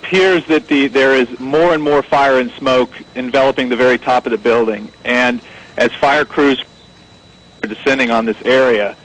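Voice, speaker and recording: A man giving unscripted live eyewitness reporting, halting as he searches for words. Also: medium pitch, fairly bright tone. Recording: faintly noisy live broadcast feed.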